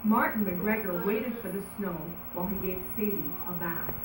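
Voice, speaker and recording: A woman reads a children's storybook aloud with expressive, dramatic emphasis. Her voice sits at an average pitch, in a roomy, echoing space with faint noise behind it. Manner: animated, dramatic, drawn-out emphasis. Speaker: storybook reader. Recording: roomy echo, background noise.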